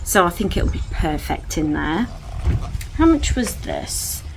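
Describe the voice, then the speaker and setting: A woman's voice: lowish voice, very animated, a little slow, creaky, slight background noise, low-quality recording. Gushing woman in a haul vlog. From England. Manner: gushing, enthusiastic, chatty. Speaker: vlogger. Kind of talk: casual haul chat to camera. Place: at home indoors.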